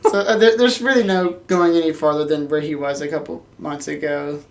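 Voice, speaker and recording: A woman with a notably low voice speaks as a podcast co-host. She chats casually and informally, as if among friends. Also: steady tone, fairly quick, a little mellow. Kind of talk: casual chat.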